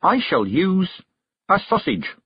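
Teenage girl witch, read by a man: raised pitch, earnest, stubborn and firm, storybook delivery. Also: big pitch swings, slow, breathy edge, highs cut off, heavily acted. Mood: determined.

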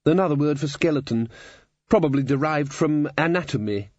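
A man's voice: on the high side, expressive, moderate pace, darkish tone, no echo, dull audio, pitched above the performer's own voice. A man voicing a jovial older wizard who runs a university, bluff and courteous, in a storybook reading. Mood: affable. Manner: bluff, conversational, courteous with hesitations.

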